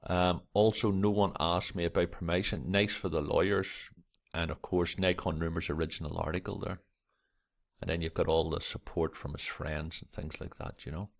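A man hosting a camera vlog, with a croaky early-morning voice, rambling and reading articles aloud with lots of fillers. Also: sits low, steady tone, normal speed. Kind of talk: casual screen-recorded commentary.

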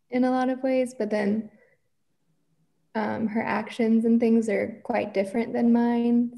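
A woman's voice: fairly high pitch, vocal fry, dark tone. A young woman, a novice actor, talking casually and tentatively on a video call, often saying "like" as she goes. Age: young adult. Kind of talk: casual, unscripted Q and A answer. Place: indoor room, on a video call.

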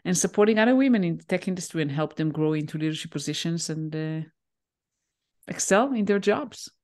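Israeli woman on a headset video call, hebrew native, lowish voice, lots of uh pauses. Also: expressive, a little mellow. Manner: thoughtful, pauses with many "uh" fillers. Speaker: guest, leadership coach. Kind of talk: casual interview answers. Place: home room, indoors.

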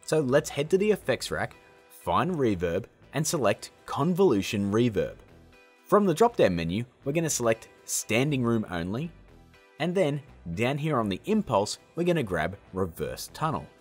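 A man's voice: mid-range voice, very animated, moderate pace, darkish tone, husky, faint music behind. A man presenting a casual how-to tutorial in an upbeat, chummy manner. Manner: upbeat, chummy, addressing viewers as guys and gang. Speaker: tutorial host.

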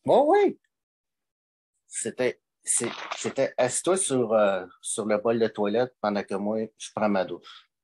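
A man's voice: fairly low pitch, very animated, takes it slowly, darkish tone, breathy edge, low-quality recording. A man, calling in and talking in hesitant, rambling stretches full of fillers. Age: late 40s. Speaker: caller. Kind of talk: call-in conversation.

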